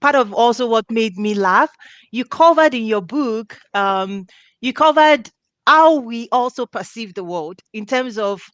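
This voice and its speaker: A woman's voice: on the high side, animated, unhurried, fairly bright tone, clean tone, no echo, dull audio. A middle-aged woman from Nigeria hosting a video call interview on a headset, bubbly and laughing often. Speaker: host. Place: home office with diplomas.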